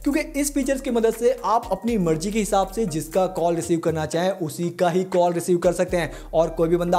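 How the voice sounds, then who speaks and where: A man's voice: high-pitched, dark tone. A man hosting a smartphone tips tutorial in an informal, chatty way, talking to viewers like buddies. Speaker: tech tutorial host.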